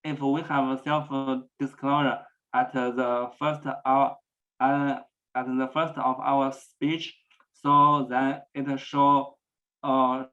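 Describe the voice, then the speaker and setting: Man stuttering thru a talk, slow and flat, kinda dull lo-fi audio. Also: medium pitch. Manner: stuttering with many repetitions and pauses. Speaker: presenter at a speech celebration. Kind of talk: personal talk about stuttering. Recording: dull lo-fi sound.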